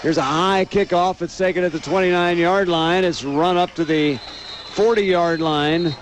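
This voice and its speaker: A man's voice: sits high, fairly even intonation, unhurried, slight background noise. An American man doing live radio play-by-play commentary of a football game.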